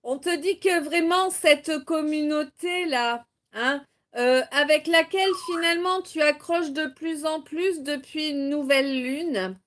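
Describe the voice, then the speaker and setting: A French woman with a high voice hosts a live-streamed card reading and chats as she goes. She talks at an unhurried pace, laughs often, and reads the viewers' messages aloud. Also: fairly bright tone. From Normandy, France. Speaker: tarot reader hosting a live stream.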